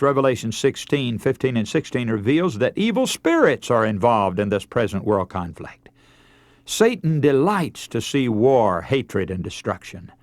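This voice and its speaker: Animated male radio preacher. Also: mid-range voice, dry recording. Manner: earnest, emphatic, oratorical. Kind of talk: radio sermon with scripture readings.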